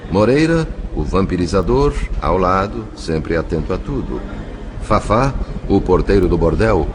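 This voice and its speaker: A man with a Brazilian accent narrating an audio novel in a solemn, measured, dramatic storytelling style. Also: low voice, noisy background. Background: music.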